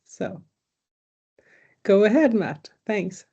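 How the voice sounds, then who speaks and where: Dark-voiced woman hosting a webinar. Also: medium pitch, animated, very slow, slightly rough, dull audio. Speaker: webinar host introducing the speaker. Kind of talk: informal spoken introduction. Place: online video call.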